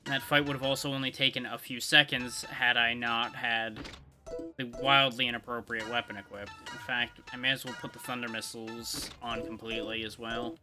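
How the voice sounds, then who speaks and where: A man's voice: medium pitch, flat delivery, normal speed. A man giving casual live gameplay commentary as a streamer, thinking aloud and explaining things conversationally. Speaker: video game livestreamer. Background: video game music.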